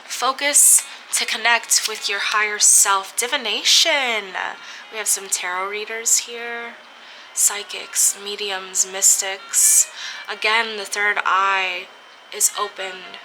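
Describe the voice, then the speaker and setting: A woman slowly and dreamily reading tarot for an online audience, sounding a little stuffy from a cold, with soft laughs and pauses. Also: medium pitch, fairly even intonation, background noise. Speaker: tarot reader. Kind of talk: spiritual tarot reading for camera.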